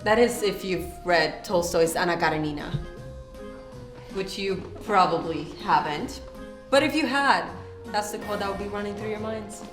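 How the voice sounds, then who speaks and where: A woman acting a pretentious, self-absorbed writer giving a lofty, condescending monologue in a measured, theatrical way. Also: medium pitch, reverberant, close to an everyday voice. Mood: lofty, dramatic. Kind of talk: dramatic monologue to an audience. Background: music.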